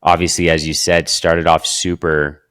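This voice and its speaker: A man with a very low voice hosts a podcast interview. He asks friendly questions in a halting way and often repeats words as he searches for the phrasing. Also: little pitch movement, fairly bright tone, clear, resonant tone. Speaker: podcast host. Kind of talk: casual interview.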